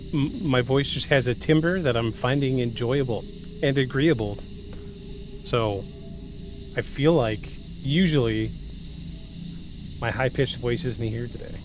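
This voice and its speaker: A man's voice: mid-range voice, slow, pauses often, background noise. A man in his forties hosting a podcast, moving between scripted narration and joking, self-deprecating casual chat. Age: 40s. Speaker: podcast host.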